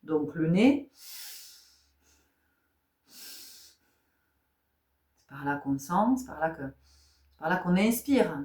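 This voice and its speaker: A woman's voice: on the low side, lively intonation, slow, long pauses, a little mellow, far from the mic. A woman giving a spiritual tarot guidance talk, asking rhetorical questions, repeating phrases and often checking in with her listeners. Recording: echoey room.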